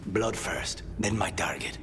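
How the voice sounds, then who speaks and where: An actor playing a grim, guarded, demon-possessed assassin in a fantasy game, speaking in terse, clipped phrases. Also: male voice, deep voice, unhurried. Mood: grim, intent. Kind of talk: cinematic fantasy game voice acting. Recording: loud music behind the voice. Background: loud game music.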